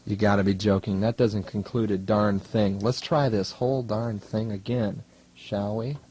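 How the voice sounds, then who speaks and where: A man's voice: on the low side, steady tone, moderate pace, darkish tone, slightly rough. A young man working as a student DJ, talking casually between songs on college radio in a wry, rambling, self-deprecating way. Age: young adult. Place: radio studio.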